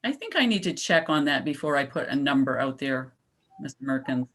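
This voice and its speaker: A woman with a low, steady voice lays out a policy proposal to a local board. She explains patiently and slows down to stress her key points. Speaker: climate advocate presenting to a town board. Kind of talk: public comment at a town meeting.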